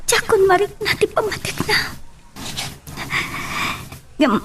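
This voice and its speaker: A woman as high-voiced, hurt young wife. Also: animated, takes it slowly, fairly bright tone, a little hiss or hum, heavily acted. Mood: wounded, pleading. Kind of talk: Ilocano radio drama acting.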